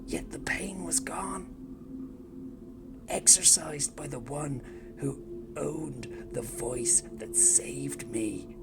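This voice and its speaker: A woman voicing an embittered orphan turned devoted plague-god cultist in an audio drama, speaking intensely and often in a hushed whisper. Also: very deep voice, exaggerated voice. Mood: reverent whisper. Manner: intense, brooding, slow and deliberate. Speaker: audio drama narrator-character. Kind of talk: first-person dramatic monologue. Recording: loud music score underneath. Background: loud music.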